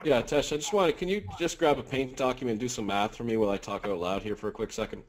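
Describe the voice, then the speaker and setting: A man giving a casual business webinar update, working through percentages out loud with a reassuring, rambling manner. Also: mid-range voice, quick pace, slight background noise. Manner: casual, talks math out loud, frequent fillers and restarts, reassuring. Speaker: company leader on webinar.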